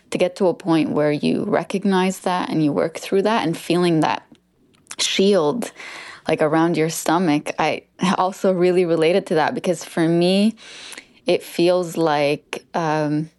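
A woman's voice: sits low, darkish tone, no echo, clean studio sound. A woman guest on a podcast, speaking earnestly and heartfelt in a reflective conversation.

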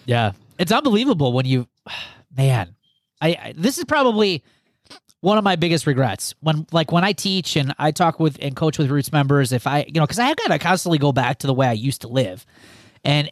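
Podcast host, male, a bit higher voice, loose rambling delivery, dry room. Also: no echo. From United States. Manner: rambling, many restarts and "you know". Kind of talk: casual podcast chat.

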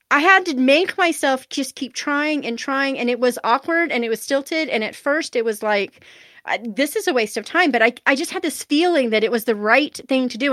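A woman's voice: high voice, fairly bright tone, no echo, clean studio sound. Nasal-voiced woman author, candid. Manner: candid, self-deprecating, chatty. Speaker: author guest. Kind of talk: casual interview answers.

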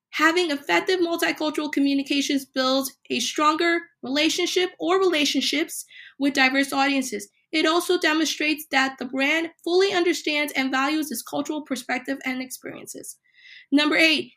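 A woman hosting a solo podcast, a little hoarse from a cold, reading numbered points with emphasis. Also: high voice, a bit bright. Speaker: podcast host.

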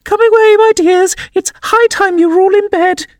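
A man's voice: very high voice, steady tone, normal speed, a little mellow, no echo, clean studio sound, voice pushed up. A man voicing an anxious mother canary with a trembling voice in a storybook. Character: anxious mother canary calling her chicks.

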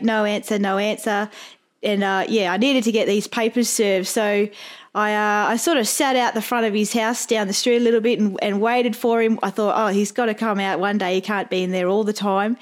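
A woman with an Australian accent, casually telling work anecdotes as a podcast host. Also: mid-range voice. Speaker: podcast host, private investigator.

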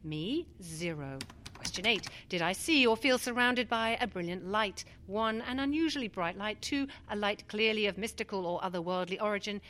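An actress plays a skeptical woman who has volunteered for a study in a radio drama. She is edgy and guarded, with clipped answers and a dry, sardonic bite. Her voice sits at an ordinary middle pitch for a woman. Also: normal speed, a bit bright, lightly acted. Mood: mocking.

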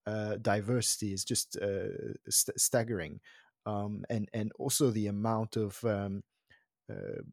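A man's voice: lowish voice, lively intonation, a little slow, vocal fry, a little mellow, dry recording, studio quality. A man hosting a podcast interview, asking long questions with many fillers and restarts. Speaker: podcast host.

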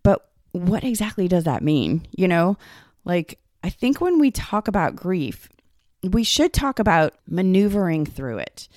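Woman w a cold, kinda hoarse and raspy, chatting loose on her podcast, lots of like and kind of, dry sound. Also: fairly low pitch, very animated, measured pace, a little mellow, no echo. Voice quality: slightly raspy. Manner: loose, conversational, many "like" and "kind of". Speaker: podcast host. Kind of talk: solo podcast talk.

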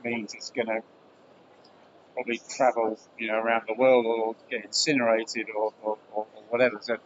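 A man hosting a relaxed podcast chat. He talks with lots of restarts and repeated words and slips in jokes. The sound is a little muffled, with faint background noise. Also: mid-range voice, normal speed. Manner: hesitant, repeats words, joking. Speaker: podcast host. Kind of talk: casual podcast interview.

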